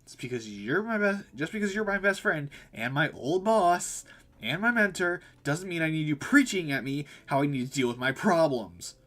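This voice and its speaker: A man's voice: fairly high pitch, animated, moderate pace, a bit bright, voice pushed up. A man voicing a stressed, snappy young woman who runs a cafe, irritable and blunt, in a visual novel. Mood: exasperated, defensive. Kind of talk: visual novel dialogue read aloud.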